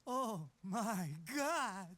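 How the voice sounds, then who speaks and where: Shrill wisecracking wiseguy. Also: male voice, expressive, extremely slow, pushed and bright, heavily acted. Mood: panicked. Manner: wisecracking, whiny, profane, slangy. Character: squat, nervous, foul-mouthed wiseguy henchman, talkative and cowardly. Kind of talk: animated video game cutscene acting.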